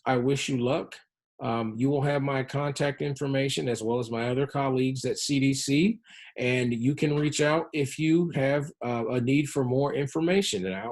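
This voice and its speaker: A man giving an educational presentation as a public health presenter, explaining things in a measured way. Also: mid-range voice, darkish tone. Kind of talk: educational presentation on health-focused game design.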